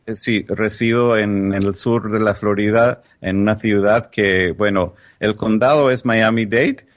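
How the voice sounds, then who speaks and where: A man's voice: on the low side, steady tone, measured pace. A man from the United States whose first language is English, a tech journalist speaking as an interview guest in an articulate, precise way, keen on explaining word usage. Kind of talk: podcast interview.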